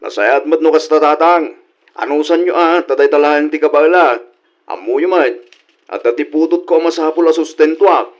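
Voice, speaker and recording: A man plays a dutiful, earnest young father working abroad in a radio drama. His voice is fairly high and respectful, with dull, lo-fi sound. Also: normal speed, natural voice. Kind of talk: comedy radio drama acting.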